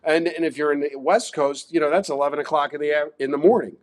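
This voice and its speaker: A man's voice: a little high, steady tone, fast talker. Chatty Baltimore-accented man hosting. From Baltimore, Maryland. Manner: chatty, promotional, rambling. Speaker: show host. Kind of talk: casual sports betting talk.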